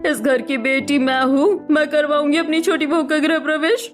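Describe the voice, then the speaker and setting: A woman's voice: high-pitched, steady tone, normal speed, faint music behind, close to an everyday voice. A woman voicing a blunt, feisty aunt in a cartoon family drama, brusque and confrontational. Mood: defiant. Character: blunt, feisty aunt, protective daughter of the house.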